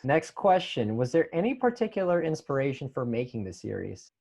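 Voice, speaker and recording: Host doing a casual q&a, reading fan questions out, pretty enthusiastic, mid pitched voice. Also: sing-song, brisk, vocal fry, darkish tone. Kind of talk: casual panel Q&A.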